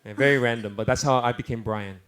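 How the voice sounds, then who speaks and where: Host voice: thirties man, Texas-tinged American accent, chatty, laughs at himself, mid pitch. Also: quick pace, a bit bright, clear, resonant tone. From Korea. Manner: chatty, self-amused, many restarts. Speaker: talk show host and worship leader. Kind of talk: casual storytelling on a live talk show.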